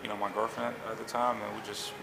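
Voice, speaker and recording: A man in his thirties talks fast and in a fairly flat way. He rambles through his story with many fillers and restarts. His voice is low and gravelly and sits in an echoey space full of loud street noise. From Haiti. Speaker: pilot and jet company owner. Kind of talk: casual interview storytelling. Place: outdoors on a city street.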